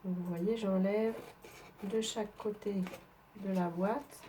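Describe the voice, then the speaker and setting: A woman's voice: medium pitch, fairly even intonation, takes it slowly, mellow, a little hiss or hum. A woman from northern France with a head cold, chatting through a papercraft tutorial as she works, with frequent hesitations. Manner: chatty, explains while working, frequent fillers. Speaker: papercraft demonstrator. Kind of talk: craft tutorial.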